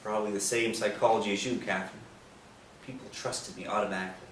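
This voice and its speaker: A man's voice: fairly low pitch, fairly even intonation, fast, a bit bright, close to an everyday voice. A man playing a disillusioned con artist who posed as a priest, in a staged play reading. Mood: defiant confession. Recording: roomy, distant mic, faint noise.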